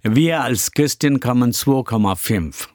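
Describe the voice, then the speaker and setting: A middle-aged Indian pastor whose first language is Tamil answers interview questions in a halting way, pausing often with many hesitations and simple grammar. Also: male voice, mid-range voice, expressive, darkish tone, no echo, studio quality. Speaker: pastor and church planter.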